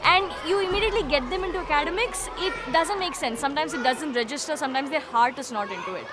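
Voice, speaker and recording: High-voiced woman over music and crowd. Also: fast talker. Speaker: teacher. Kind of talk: explanatory interview. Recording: music and crowd noise behind.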